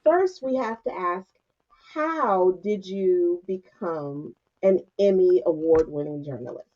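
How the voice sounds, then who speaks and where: A woman co-hosting an interview podcast, introducing a guest and asking questions with quick asides. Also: lowish voice. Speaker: podcast co-host. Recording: dull, muffled audio.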